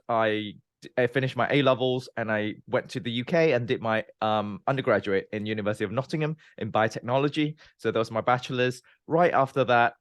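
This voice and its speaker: A man in his thirties from Malaysia, a scientist guest explaining his career in a measured way with frequent "um" and "you know". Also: mid-range voice, dry recording, clean studio sound. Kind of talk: interview, recounting his career.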